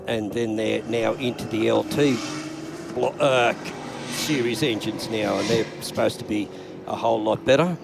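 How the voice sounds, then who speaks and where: An older man, co-commentating drag races in a relaxed, knowledgeable way. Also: fairly low pitch, vocal fry, noisy background.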